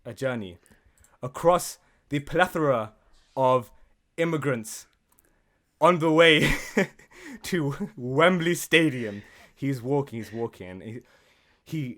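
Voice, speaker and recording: A man's voice: mid-range voice, a bit bright. A man co-hosting a gaming podcast, casual, jokey and sweary, improvising and bantering.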